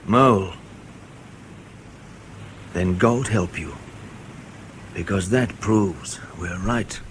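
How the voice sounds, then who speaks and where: A man playing a dogged, controlled intelligence officer in a radio drama, questioning in a measured, pointed way. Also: low voice, a little hiss or hum. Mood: grave, insistent.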